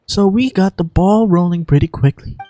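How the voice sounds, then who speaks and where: An amateur voicing a frank, confident female mercenary from a game, relaxed and assured. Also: mid-range voice, moderate pace, pitched above the performer's own voice, natural voice.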